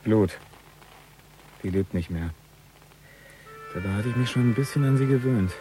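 A man's voice: fairly low pitch, moderate pace, over music, natural voice, poor audio. A man playing a wary, sceptical criminal accomplice in a radio crime drama, uneasy and sardonic.

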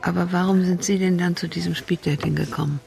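Creaky-voiced, agreeable older woman. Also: on the low side, steady tone, dark tone. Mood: agreeable, curious. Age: 60s. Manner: halting, agreeable, seeks confirmation with tag questions. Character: sociable widowed grandmother, agreeable, hesitant, curious. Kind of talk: improvised naturalistic radio drama dialogue.

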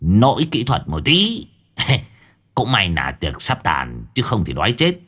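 A man's voice: lowish voice, wildly swooping pitch, takes it slowly, fairly bright tone, faint music behind, heavily acted. A man voicing an old prankster of a host who curses and bluffs after a mishap. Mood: relieved. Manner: brash, cursing, flustered. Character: old prankster host, brash and foul-mouthed.

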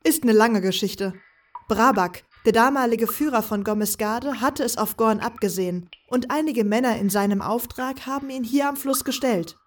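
A woman acts out a young woman with a high voice, set a little back from the mic. She is breezy and sure of herself, always ready with a teasing jab. It is audio drama, played as a sneaky, carefree companion. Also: distant mic, natural voice. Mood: matter-of-fact. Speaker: voice actor in a fan audio drama. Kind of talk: German fan audio drama acting.

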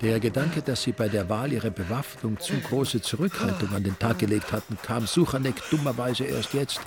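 A man narrating a suspenseful rural crime story in a scripted radio drama, with a creaky voice. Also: medium pitch, lively intonation, moderate pace, close to an everyday voice. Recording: music under the voice. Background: music.

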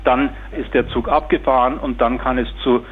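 A man speaking as an academic expert, explaining in an earnest, deliberate way. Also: medium pitch, fairly even intonation, breathy edge. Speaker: expert, professor. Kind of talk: explanatory interview statement. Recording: tinny, narrow phone-like band.

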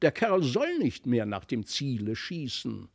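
A man voicing an impulsive, boastful young boy in a storybook, excitable and emphatic. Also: medium pitch, a little slow, vocal fry, slightly rough, full character voice. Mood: scornful, indignant. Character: impulsive, boastful young boy, quick to scorn his toys. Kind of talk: storybook character voice.

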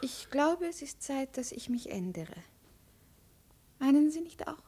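An imperious young princess in a vintage radio play. Her voice sits slightly high and her delivery is crisp. She is cool and haughty, used to giving orders. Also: expressive, measured pace, mellow. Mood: coolly composed. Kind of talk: 1950s German radio play acting.

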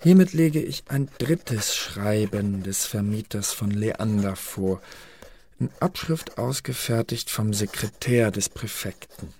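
An actor playing an intelligence officer who presents secret files in a radio play, clipped and questioning. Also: male voice, fairly low pitch, takes it slowly, a little mellow, a little hiss or hum. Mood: pointed, official. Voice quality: gravelly, husky. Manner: clipped, dry, poses questions and answers them. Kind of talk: German radio play, formal document reading.

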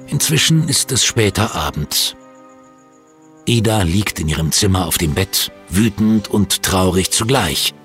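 A man's voice: low-pitched, animated, unhurried, fairly bright tone, soft background music. A man reading scripted storytelling narration for an audio drama.

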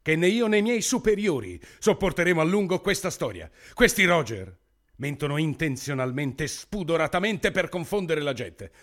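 An actor playing a bullying, foul-mouthed government official, self-satisfied and bloodthirsty, with emphatic, deliberate repetitions in a theatrical radio drama. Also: male voice, a little high, expressive, fairly bright tone. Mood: indignant, venomous.

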